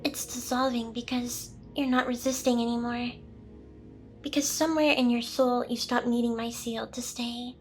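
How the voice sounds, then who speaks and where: A woman's voice: on the high side, steady tone, moderate pace, soft background music, close to an everyday voice, low-quality recording. A woman playing a smug, seductive, possessive demon boss in an ASMR roleplay, with a teasing, theatrical delivery. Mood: intense, vulnerable.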